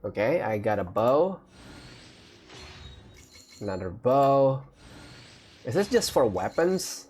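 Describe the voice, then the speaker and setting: A man's voice: medium pitch, big pitch swings, slow pace, long pauses, darkish tone, slight background noise. A man hosting a gaming video, giving casual, off-the-cuff commentary in short reacting phrases.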